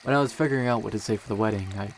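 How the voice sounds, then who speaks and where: A tender young emperor played by a man speaks in a slightly low voice, sincere and gently teasing, as in a romantic audio drama. Running creek water makes a noticeable wash of noise behind the words. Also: rapid-fire, close to an everyday voice. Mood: earnest, tender.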